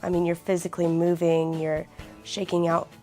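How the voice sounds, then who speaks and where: An eighteen-year-old woman with a raspy voice who keeps clearing her throat, speaking earnestly and reflectively in a documentary interview. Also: fairly low pitch, level tone, normal speed, dark tone, faint music behind. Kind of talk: personal documentary testimony.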